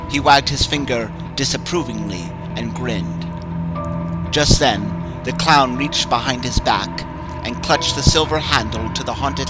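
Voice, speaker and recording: A man giving a measured, suspenseful dramatic reading of a horror story. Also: mid-range voice, rough recording. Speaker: narrator. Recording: clear music bed under the voice.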